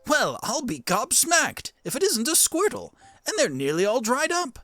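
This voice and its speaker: A man's voice: very high-pitched, wildly swooping pitch, moderate pace, sharp tone, a little hiss or hum, voice pushed up, exaggerated voice. A man voicing an elderly, scholarly plant-creature professor in a game, curious and kindly, with a quaint, theatrical, musing way of talking. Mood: surprised, urging. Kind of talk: cartoon game character dub. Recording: music behind the voice.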